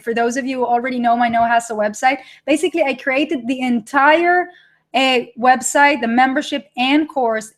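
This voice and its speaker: Upbeat Israeli woman hosting a webinar. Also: sits high. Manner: chatty, reads live chat and reacts to viewers. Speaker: webinar host presenting her product. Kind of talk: live webinar presentation.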